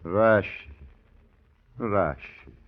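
A man's voice: lowish voice, extremely slow. A man playing a pleading, tender old father in old radio drama style. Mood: tender, overcome. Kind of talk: 1950s radio drama acting. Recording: dull vintage radio broadcast.

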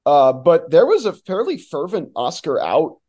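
A man's voice: a little high, big pitch swings, measured pace, mellow. A man talking as a sports announcer guest, giving emphatic, opinionated analysis in a conversational way.

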